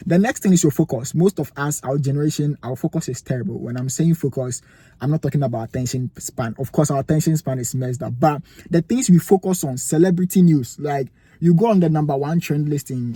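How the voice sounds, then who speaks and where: A man's voice: a little high, a little fast, mellow, husky, dry recording. A young man with a Ghanaian accent giving emphatic motivational advice to camera, asking rhetorical questions, indoors in a cold room. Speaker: vlogger giving life advice.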